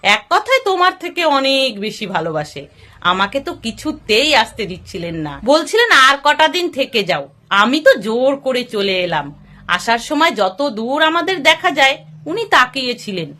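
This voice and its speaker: Lady doing a naive young son-in-law from a folk story, gushy, high animated voice. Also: normal speed, exaggerated voice. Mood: boastful.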